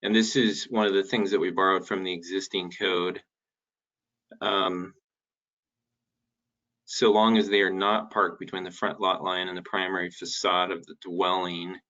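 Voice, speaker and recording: A man's voice: deep voice, little pitch movement, moderate pace, pushed and bright, lo-fi sound. A man talking through details as a city planner in an informal meeting, hesitant and thinking aloud. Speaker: city planner presenting a code draft.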